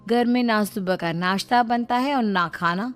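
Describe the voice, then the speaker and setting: A woman's voice: a little high, moderate pace, faint music behind, lightly acted. Woman playing a plaintive, fed-up young wife. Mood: exasperated complaint. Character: complaining young married daughter, fed up with lazy husband. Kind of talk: moral-story cartoon voice-over.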